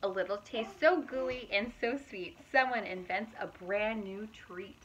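A woman in her thirties, a preschool teacher, reads picture books aloud to young children in an expressive, animated manner with asides to her listeners. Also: medium pitch, a little slow, lo-fi sound, a little hiss or hum. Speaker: preschool teacher reading storytime.

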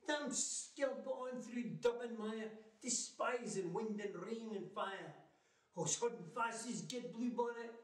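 A man in his sixties with a Scottish accent, theatrically and emphatically reciting narrative poetry in Scots. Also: sits high. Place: living room with fireplace, indoors. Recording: roomy, distant microphone sound.

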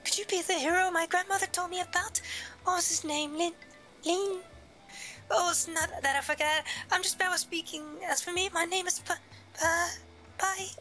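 Man putting on a high, flustered young woman. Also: steady tone, normal speed, pushed and bright, faint music behind. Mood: flustered, startled. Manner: stammering, timid, apologetic. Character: shy, flustered young woman in fantasy game.

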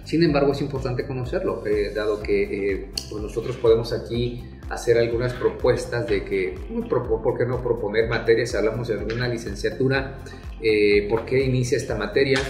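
A man's voice: medium pitch, fairly even intonation, darkish tone. Middle-aged guy lecturing, lots of ums and restarts, echoey little room. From Mexico. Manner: measured, hesitant, many fillers and restarts. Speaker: university lecturer. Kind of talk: academic talk to prospective students. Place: small plain room, indoors. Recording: echoey room, faint music behind.

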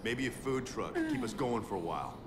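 An actor playing a gruff, protective, exasperated male survivor ally in a video game. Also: medium pitch, huge pitch swings, brisk. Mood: dry. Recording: loud vehicle noise and music behind.

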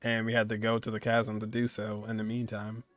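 A man's voice: lowish voice, completely flat delivery, brisk, a bit bright, faint music behind. A man in his twenties giving casual, joking, slangy reaction commentary as he streams a video game. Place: indoors, streaming at a desk.

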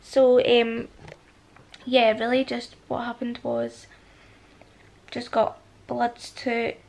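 A woman in her twenties with a Scottish accent, a husky voice from a cold, talking softly to the camera in a casual vlog with many ums. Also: a little high, little pitch movement, slow pace. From Scotland. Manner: soft and hushed, with many ums. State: has a cold. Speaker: vlogger. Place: indoors, at home in a bedroom, lying against a headboard. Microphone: phone or camera at arm's length.

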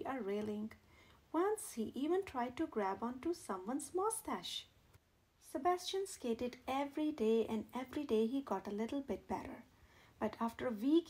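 A woman with a high voice reads a picture book to children. Her storytelling is expressive and measured, with dramatic phrasing aimed at young listeners. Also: low-quality recording.

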